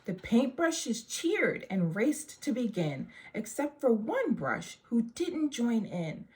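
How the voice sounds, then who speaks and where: Slow-paced woman reading a storybook. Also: a little high, sing-song, darkish tone, poor audio. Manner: measured, rhyming verse delivery. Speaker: storybook reader. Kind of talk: read-aloud children's story.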